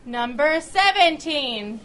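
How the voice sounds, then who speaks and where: High, bright-voiced woman hosting a quiz. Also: lively intonation, takes it slowly. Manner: playfully mock-schoolteacher, teasing. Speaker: host of a music quiz game. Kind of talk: live quiz calling to a club audience. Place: club luncheon in a restaurant. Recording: live event in a restaurant room.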